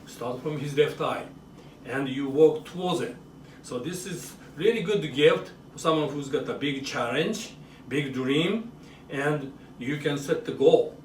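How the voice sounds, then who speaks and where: A man with a Japanese accent giving an educational demonstration in short, simple phrases, often checking in with a quick question. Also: on the high side. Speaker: author and presenter. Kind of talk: educational show-and-tell for children and families. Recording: roomy echo, distant mic, faint noise.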